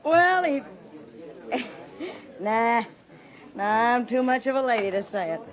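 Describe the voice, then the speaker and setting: A woman's voice: on the high side, lively intonation, takes it slowly, a faint crowd. A woman playing a playful, sassy frontier saloon regular with teasing, folksy talk in a 1950s radio drama. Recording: muffled 1950s radio, crowded room behind.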